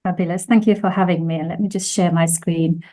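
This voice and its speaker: Low, mellow woman presenting. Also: steady tone. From Finland. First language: Finnish. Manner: measured, with frequent restarts. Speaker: conference speaker. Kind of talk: conference presentation.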